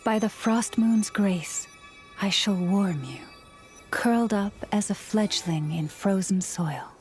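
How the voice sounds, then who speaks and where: A woman playing a serene, devout young priestess and healer in a video game, speaking in a gentle, measured, formal way with quiet authority. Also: mid-range voice, music in the background, natural voice. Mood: reverent.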